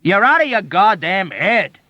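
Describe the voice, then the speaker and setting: A man's voice: high-pitched, huge pitch swings, a little fast, fairly bright tone, no echo, voice pushed up, exaggerated voice. A man doing a frantic, exasperated airman. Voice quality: urgent, emphatic. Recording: dull dry audiobook recording.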